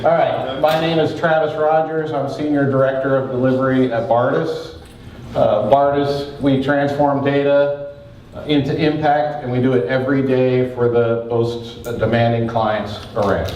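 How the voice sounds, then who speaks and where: Fast-talking man with a big voice in an echoey hall. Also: medium pitch, distant mic, muffled recording, a little hiss or hum. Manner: fast-talking, joking, energetic. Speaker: conference presenter. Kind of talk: live conference talk. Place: conference hall with audience.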